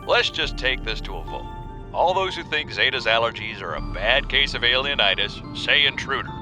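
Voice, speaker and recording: A man's voice: mid-range voice, animated, normal speed, music in the background, close to an everyday voice. An actor playing a wisecracking, suspicious outpost crewman in a game audio log, with a sardonic manner. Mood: teasing.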